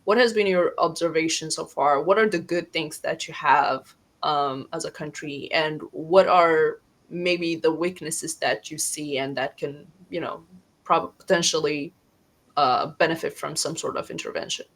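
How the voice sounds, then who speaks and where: A woman's voice: low voice, measured pace, fairly bright tone. A woman hosting an interview podcast, asking questions in a friendly, chatty way. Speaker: podcast host.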